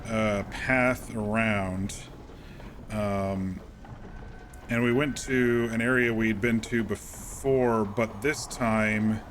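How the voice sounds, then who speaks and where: A man streaming a game, casually recapping events in a calm, rambling way with many fillers and restarts. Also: on the low side, slight background noise.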